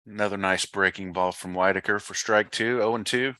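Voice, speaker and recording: A man's voice: fairly low pitch, fairly even intonation, a little fast, pushed and bright, no echo. A man giving live baseball play-by-play commentary in clipped, matter-of-fact phrases.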